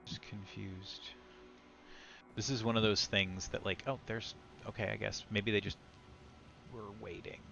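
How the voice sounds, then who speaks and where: A man's voice: fairly low pitch, level tone, unhurried, vocal fry, long pauses, sharp tone, lo-fi sound. A man chatting casually as he streams and comments on his gameplay. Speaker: game streamer.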